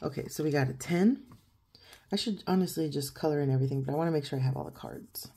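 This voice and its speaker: A woman in her thirties with a croaky morning voice, chatting casually at a home desk and counting money aloud as she fills in savings challenges. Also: low voice, fast talker. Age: 30s. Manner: chatty, counts out loud, laughs easily. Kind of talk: casual chat while counting cash.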